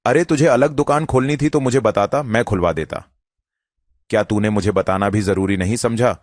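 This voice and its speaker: A man's voice: lowish voice, normal speed, dry recording, studio quality, faint music behind, close to an everyday voice. A man voicing a worried, caring elder brother. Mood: reproachful. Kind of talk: cartoon voice acting.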